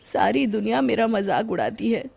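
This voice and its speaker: A touchy young cartoon bachelor acted out by a woman. He sounds wronged and plaintive, quick to indignation, in a raised voice. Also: on the high side, animated, creaky, heavy background noise.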